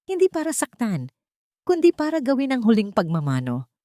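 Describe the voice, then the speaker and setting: A woman giving dramatic scripted narration of a sentimental story, with solemn, deliberate phrasing. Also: fairly high pitch, wildly swooping pitch, mellow, no echo, clean studio sound. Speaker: audiobook narrator.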